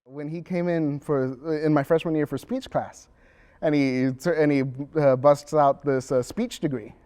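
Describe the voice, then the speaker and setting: A man's voice: on the high side, steady tone, a little slow, darkish tone, clear, resonant tone. A young man, a student actor, talking casually in an interview. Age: young adult.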